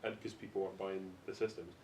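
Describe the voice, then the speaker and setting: A man's voice: flat delivery, fast talker, darkish tone. A young man chatting casually on a podcast panel. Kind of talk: casual group chat. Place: echoey room. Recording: roomy echo, faint noise and rumble.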